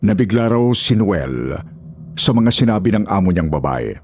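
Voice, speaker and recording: A man's voice: sits low, sing-song, normal speed, darkish tone, breathy edge. A man reading a scripted horror story as its narrator. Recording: music behind the voice.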